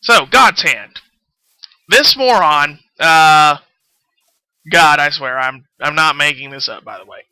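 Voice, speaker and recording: A man's voice: fairly high pitch, takes it slowly, long pauses, bright, forceful tone, clear, resonant tone, no echo, poor audio. A man telling stories casually on a game stream, rambling absent-mindedly and often losing his thread. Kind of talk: casual live-stream storytelling while gaming. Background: background music.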